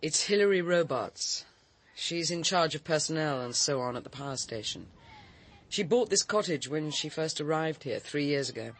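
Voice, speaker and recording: A woman's voice: low voice, expressive, moderate pace. An actress playing a poised, sociable countrywoman in a radio drama, speaking politely and articulately with slight hesitations in a British accent. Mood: tactful, frank. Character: poised, sociable, frank countrywoman, a writer and cook.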